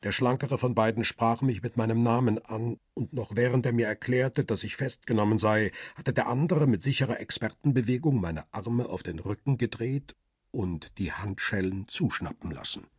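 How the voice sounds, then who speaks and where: An actor playing a middle-aged paparazzo who narrates his own crime story in a radio drama, husky and matter-of-fact. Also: medium pitch, moderate pace, dry recording, studio quality. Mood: tense recounting.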